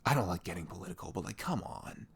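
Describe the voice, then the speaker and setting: A man acts out a sweet young boyfriend in an intimate audio roleplay. His voice sits on the low side and crackles with heavy fry. He rambles in casual slang, animated and caring, slipping into little acted-out quotes. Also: quick pace, full character voice. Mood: animated, exasperated. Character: sweet, caring, chatty boyfriend on a morning after.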